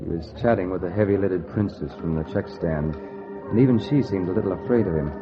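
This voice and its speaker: An actor playing a cocky, money-hungry gambler in a 1940s radio noir, with clipped, wry, slangy delivery. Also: male voice, sits low, brisk, close to an everyday voice. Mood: wry, tense. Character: hard-boiled, cocky male gambler, broke and money-hungry. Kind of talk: 1940s radio noir acting, first-person narration. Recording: dull vintage radio recording with music. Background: music.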